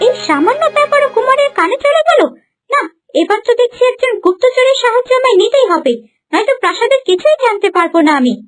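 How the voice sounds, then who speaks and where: A woman plays a young princess bride, haughty and scheming. The voice is very high and imperious, in fairy-tale cartoon style. Soft music sits behind it. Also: animated, quick pace.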